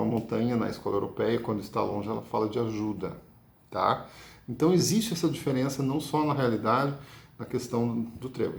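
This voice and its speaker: A Brazilian-accented man gives a card-reading lesson with a gravelly edge to his mid-pitched voice. He explains patiently and keeps checking in with the listener. The recording sounds echoey and roomy. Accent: Brazilian Portuguese. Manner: patient, ends points with tag questions. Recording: echoey room.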